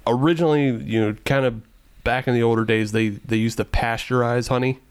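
A man's voice: mid-range voice, fairly even intonation, fairly quick, clear, resonant tone. A man co-hosting a casual podcast chat, explaining things in a rambling way with lots of fillers. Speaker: podcast co-host.

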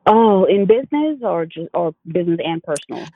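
A woman from Jamaica with a Jamaican accent, telling stories as a guest in a casual interview, with quick laughs. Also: fairly low pitch, dry recording. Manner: animated storyteller, quick laughs. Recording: tinny phone line.

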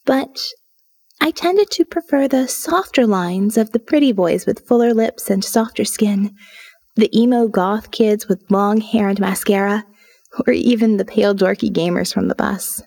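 A woman reading an audio novel as a sardonic, restless young woman diarist, wry and confiding, with dramatic pauses. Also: medium pitch, vocal fry, warm tone. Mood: wry, confessional. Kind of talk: dramatic first-person audio fiction narration.

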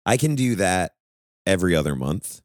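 Very deep-voiced man, lively and profane. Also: normal speed, no echo, clean studio sound. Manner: animated, swears freely, laughs at himself. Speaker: podcast co-host and touring musician. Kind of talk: casual chat.

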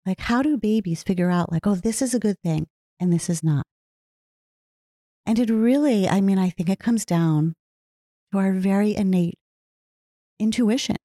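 A woman speaking in an earnest, heartfelt way, reflecting slowly on her own life. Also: mid-range voice, dark tone, no echo, studio quality. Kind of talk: reflective personal talk.

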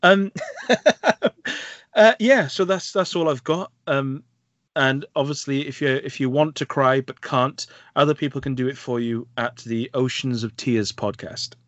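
A man's voice: mid-range voice, measured pace, a bit bright, no room sound, dull audio. A man with a Welsh accent co-hosting a film podcast, chatting casually and hesitantly with lots of fillers. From Wales. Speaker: podcast co-host. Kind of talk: casual chat.

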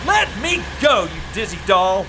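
A man's voice: sits high, very animated, measured pace. A man acting in an old-time radio comedy as a wry, sardonic but fond publicity man, with dry, teasing, theatrical delivery and first-person narration. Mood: alarmed. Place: small-town theater stage, live performance. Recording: live stage recording with background noise.

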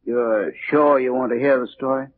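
An actor playing an ancient, weary sea captain telling a brooding tale in 1940s radio style. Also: male voice, mid-range voice, fairly even intonation, a little hiss or hum, lightly acted. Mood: weary, casual. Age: older. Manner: unhurried, brooding storyteller. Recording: muffled 1940s radio recording.

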